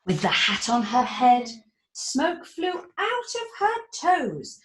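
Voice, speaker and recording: A woman reading her own rhyming children's book aloud in a sing-song, expressive way, with dramatic whispers and gasps. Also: high voice, takes it slowly, reverberant, noisy background.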